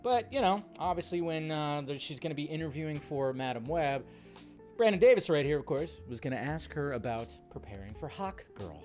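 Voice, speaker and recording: A man's voice: on the high side, lively intonation, brisk, a little mellow. A man giving casual, opinionated fan commentary as a film news host, emphatic, rambling and slangy. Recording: music behind the voice.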